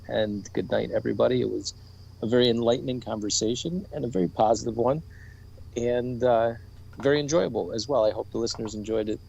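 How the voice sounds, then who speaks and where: Low gravelly guy on a podcast, friendly, some stammering, faint hiss. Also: darkish tone, dull audio. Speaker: guest.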